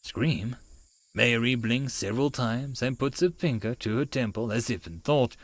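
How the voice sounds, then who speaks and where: A man narrating a first-person story as an audiobook reader, in an expressive storytelling style. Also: mid-range voice, normal speed, dry recording.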